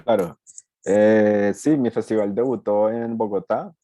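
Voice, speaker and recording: Colombian-born male director, very low dark voice, dry close sound. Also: measured pace, no echo. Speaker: student film director. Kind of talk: panel answer on a video call.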